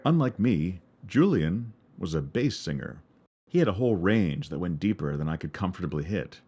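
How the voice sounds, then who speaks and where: A man with a baritone voice, narrating a memoir for his podcast in a wry, reflective way. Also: animated, moderate pace, mellow, husky. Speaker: podcast host.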